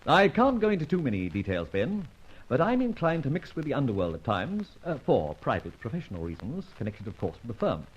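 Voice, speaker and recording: An actor playing a suave, playful amateur sleuth in an old-time radio detective drama, with flowery, teasing speech. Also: male voice, medium pitch, wildly swooping pitch, fairly quick, breathy edge. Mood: explanatory, pleased. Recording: dull, muffled old radio recording.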